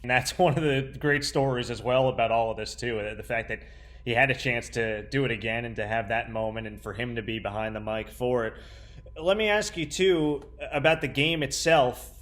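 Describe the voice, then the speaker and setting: Young man interviewing, medium-pitched voice. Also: moderate pace, a bit bright. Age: young adult. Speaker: interviewer, sports broadcaster. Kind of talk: conversational sports interview.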